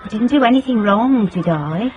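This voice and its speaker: A woman's voice: fairly high pitch, lively intonation, normal speed, phone-quality sound, a little hiss or hum, lightly acted. An actress playing a wary, defensive landlady in a radio drama, indignant about her respectability. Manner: suspicious, indignant, guarded.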